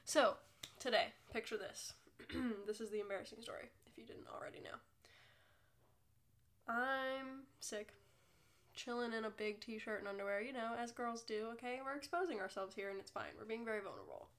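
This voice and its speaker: A woman's voice: on the high side, moderate pace, fairly bright tone. A young woman hosting her own podcast, congested from illness, chatting casually in a rambling, self-deprecating way. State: congested from a cold. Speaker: podcast host. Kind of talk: casual solo podcast chat.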